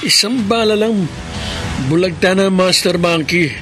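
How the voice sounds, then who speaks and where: A man's voice: high-pitched, measured pace, over music. An actor playing a brave armed man in a Filipino radio horror drama, speaking dramatically and narrating his own actions aloud. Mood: watchful, determined. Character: brave armed man vowing to kill monster monkeys.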